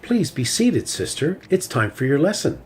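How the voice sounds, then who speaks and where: A man's voice: a little high, very animated, moderate pace, faint music behind, voice pushed up. A man voicing a bossy, bragging young female bear cub with a scolding manner.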